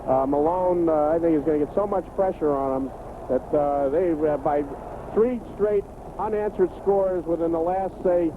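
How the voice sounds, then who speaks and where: An American man giving off-the-cuff football analysis as a radio colour commentator, halting and conversational. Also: a little high. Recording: tinny, noisy 1980s AM radio broadcast.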